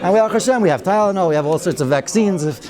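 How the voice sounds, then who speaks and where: A man's voice: high voice, lively intonation, fast talker, a little mellow, a faint crowd. A man teaching a weekly Torah class in a synagogue study hall, chatty and digressive, with wry asides and many Hebrew and Yiddish terms. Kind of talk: informal Torah lecture.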